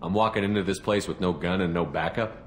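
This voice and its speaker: A man's voice: low voice, fast talker, a little hiss or hum, lightly acted. A man playing a tough, confident space soldier in a video game, talking in a terse, direct way. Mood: businesslike. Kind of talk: video game cutscene acting. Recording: loud music behind.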